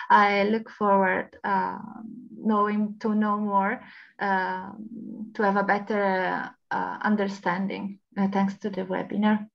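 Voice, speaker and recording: Woman from Italy, volunteer, halting intro, creaky tone, lo-fi webcam. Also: mid-range voice. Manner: hesitant, searching for words. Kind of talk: self-introduction on a call. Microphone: video call. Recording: dull lo-fi call audio.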